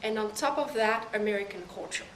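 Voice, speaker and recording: Young woman, thoughtful pauses, far from the mic, echoey room. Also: mid-range voice, heavy background noise. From Caribbean. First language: French. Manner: thoughtful, pausing between phrases. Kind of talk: interview answers.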